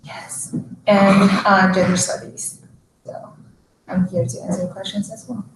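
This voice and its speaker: A woman's voice: medium pitch, flat delivery, measured pace. Young woman in an echoey room. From China. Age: young adult. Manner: easygoing, many "like" fillers. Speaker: student panelist. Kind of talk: casual panel chat. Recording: roomy with faint hiss.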